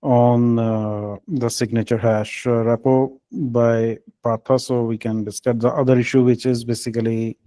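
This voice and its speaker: A man leading a technical team call, speaking in a halting, hesitant way with filled pauses. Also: mid-range voice, little pitch movement, warm tone, dry recording. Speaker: meeting lead.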